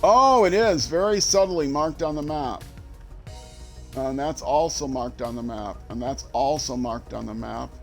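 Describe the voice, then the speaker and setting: An older man with a mild Minnesota accent chats his way through a game stream. His voice sits in an ordinary middle pitch, loose and self-deprecating, drifting between asides. Soft game music plays faintly behind him. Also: a little slow, gravelly. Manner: rambling, self-deprecating, chuckling to himself. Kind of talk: casual gameplay commentary.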